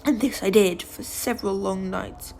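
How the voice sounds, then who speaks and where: Woman doing a crazy gloating killer from a gothic tale, super theatrical, lots of breathy whispering, music behind. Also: mid-range voice, steady tone, moderate pace, darkish tone, lightly acted. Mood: sly, hushed. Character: deranged, gloating murderer confessing while insisting on sanity. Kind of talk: dramatic gothic story reading.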